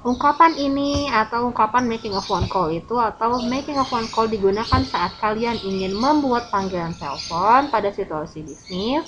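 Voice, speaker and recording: A woman's voice: fairly high pitch, animated, moderate pace, hardly any pauses, muffled recording. A woman with an Indonesian accent, a native Indonesian speaker, teaches English informally in an online lesson, explaining examples and translating as she goes. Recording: clearly noisy, with birdsong. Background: birds chirping, ambient noise.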